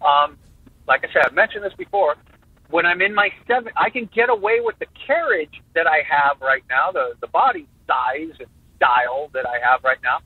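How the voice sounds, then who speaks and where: A man in his fifties, a guest in an interview, talking in a jokey, animated way with many halting restarts over a phone line. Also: fairly high pitch, breathy edge, no echo. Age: 50s. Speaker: guest drummer. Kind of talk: casual interview talk.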